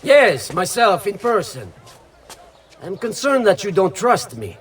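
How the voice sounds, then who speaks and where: A man's voice: sits high, sing-song, measured pace, a bit bright, a little hiss or hum, heavily acted. A man playing an anxious, defensive Venetian conspirator in a video game, insistent and worried.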